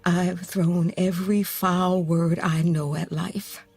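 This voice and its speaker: An actress playing a gentle, grieving middle-aged mother who offers consolation in a naturalistic audio drama. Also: on the low side, little pitch movement, husky, soft background music, heavily acted. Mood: earnest, consoling. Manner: slow, gentle, earnest delivery with pauses. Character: grieving, consoling mother who lost her teenage daughter.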